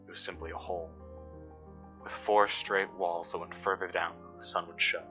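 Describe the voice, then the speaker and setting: Deep, sharp-voiced, brooding man playing an uneasy excavation worker. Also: level tone, moderate pace, faint music behind. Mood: uneasy, measured. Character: uneasy, cynical male excavation worker recording an audio log. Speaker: narrator of a fictional audio log. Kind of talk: scripted first-person mystery narration.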